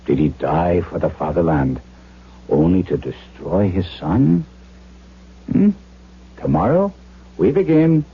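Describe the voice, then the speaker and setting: An actor playing a firm, earnest German doctor in a radio drama, deliberate and authoritative. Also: male voice, low-pitched, expressive, husky, heavily acted. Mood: earnest coaxing. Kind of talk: 1970s radio drama acting. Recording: dull radio recording with faint hum.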